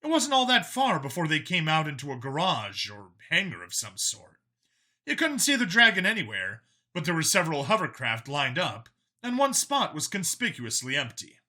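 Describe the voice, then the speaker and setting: Man, dramatic story narration, fairly high voice, sharp forceful tone, audiobook reader. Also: huge pitch swings, normal speed.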